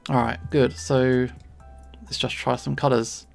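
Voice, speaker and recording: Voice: mid-range voice, flat delivery, measured pace, darkish tone, faint music behind. A streamer with a southeast English accent, chatting casually and playfully while drawing, pronouncing words with care. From southern England. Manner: clearly enunciated, pronounces T's; playful, chuckles often.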